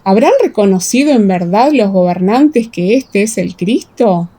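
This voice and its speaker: A woman's voice: mid-range voice, animated, a little slow, warm tone. A woman voicing a wondering townsperson of ancient times in a Bible radio play, questioning and theatrical. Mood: puzzled.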